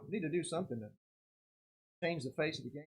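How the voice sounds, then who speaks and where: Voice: medium pitch, fast, breathy edge. A commentator calling a live baseball game in a casual, folksy, conversational way, with short exclamations between bits of chat.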